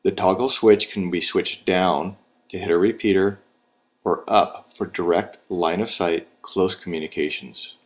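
Male narrator for a how-to training video, low register, plain scripted step-by-step read. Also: fairly even intonation, unhurried.

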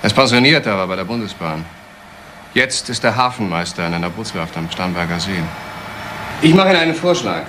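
A man's voice: on the low side, expressive, brisk, background noise, close to an everyday voice. A male actor playing a bitter, sardonic adult son in a German crime radio play, mocking and insinuating. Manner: mocking, insinuating, with rhetorical questions.

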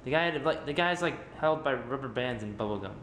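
A man's voice: medium pitch, animated, brisk, a bit bright, a little hiss or hum. A young man bantering goofily with friends in a home kitchen, caught on a handheld camera. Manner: teasing, goofy. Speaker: guest. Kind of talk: casual chat.

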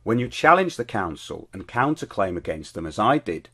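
A middle-aged man with a British accent narrates like a show host reading from a script. His voice sits on the low side and is noticeably husky. The delivery is measured and declarative. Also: sing-song, a bit bright.

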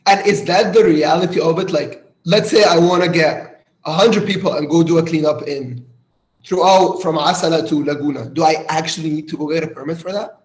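A man from Egypt hosting a casual interview, phrasing things in a thoughtful, halting way. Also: a little high. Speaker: interviewer, host. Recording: roomy, echoey sound.

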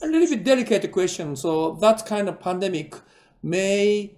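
A man, interviewing conversationally in halting, phrasing. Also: high voice, animated, darkish tone. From Japan. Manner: halting non-native phrasing, frequent listening murmurs. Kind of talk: conversational interviewing over a call.

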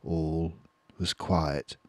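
An older man reading a children's book aloud slowly and expressively, close to the mic in a quiet room. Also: rumbling low, dry recording. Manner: slow, expressive, doing all the voices. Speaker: storyteller.

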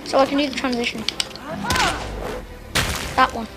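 A woman's voice: high-pitched, fairly even intonation, normal speed. A young woman hosting a casual game video, giggly and playful. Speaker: gaming channel host. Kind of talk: casual let's-play banter. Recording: game music loud behind.

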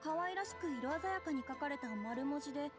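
An actress playing a polite, gentle young noblewoman in a video game, speaking formally and humbly. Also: high-pitched, animated, a little fast. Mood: puzzled, reflective. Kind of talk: Japanese video game voice acting. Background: background music.

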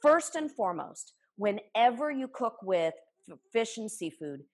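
Husky-voiced woman teaching cooking. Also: a little high, big pitch swings, unhurried. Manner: enthusiastic, explanatory, talks while chopping. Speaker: cooking instructor. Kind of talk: live cooking demonstration. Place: home kitchen, indoors. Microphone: camera a few feet away.

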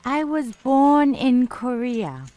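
A woman voicing a friendly young woman in a scripted dialogue for language learners, speaking slowly and carefully. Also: high voice, very animated, warm tone, no room sound, full character voice. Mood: calm. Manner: slow, carefully spoken.

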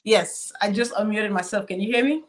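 A young woman from Cameroon talks over a video call as a panelist. Her pitch sits mid-range for a woman, and she tells her story casually and hesitantly, with many fillers and restarts. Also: fairly quick. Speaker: panelist, cybersecurity professional.

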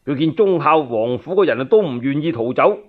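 A man's voice: on the high side, animated, fairly quick. A man telling a historical tale in Cantonese as a radio storyteller, colloquial and speaking straight to his listeners. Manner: colloquial, addressing listeners directly. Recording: narrow-band, phone-like radio recording.

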